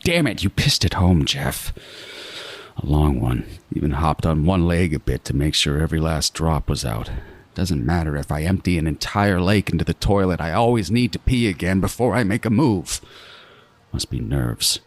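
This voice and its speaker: An actor playing a meticulous, obsessive serial killer who narrates a horror audio drama in a brooding, deliberate and menacing way. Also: male voice, very deep voice, animated. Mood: agitated, nervous.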